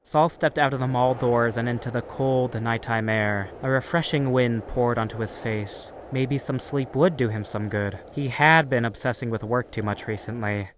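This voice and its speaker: A man's voice: medium pitch, normal speed, hardly any pauses, slight background noise. A man reading scripted story narration as the narrator of a fan-made audio drama. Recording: music behind the voice.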